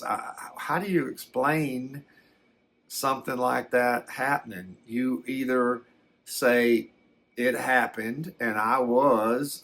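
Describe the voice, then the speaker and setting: An older man telling a personal story in a casual, unscripted way, halting and repeating words as he reflects. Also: mid-range voice, gravelly, a bit bright. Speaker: storyteller recounting a personal experience.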